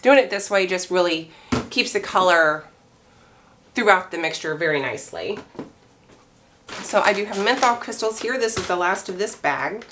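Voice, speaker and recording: A hoarse middle-aged woman talks casually in her home workspace, thinking aloud as she works. Her voice sits at an ordinary middle pitch, with a faint hiss behind it. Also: normal speed, pauses often. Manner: chatty, relaxed, thinks aloud while working. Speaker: craft maker. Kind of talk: casual how-to chat.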